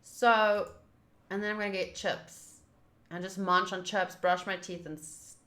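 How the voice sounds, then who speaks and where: A woman's voice: mid-range voice, steady tone, measured pace, fairly bright tone, roomy sound. A young woman hosting a game livestream from a room at home, chatting casually with her viewers. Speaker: streamer, host of a game playthrough. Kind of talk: casual livestream chat and commentary.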